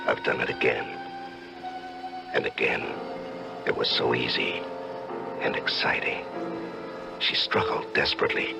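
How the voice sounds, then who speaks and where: A man acting in an old-time radio drama as an outwardly genial, secretly murderous middle-aged husband, theatrical and deliberate in his speech. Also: a bass voice, completely flat delivery, vocal fry, over loud music, exaggerated voice. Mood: gloating, confessional.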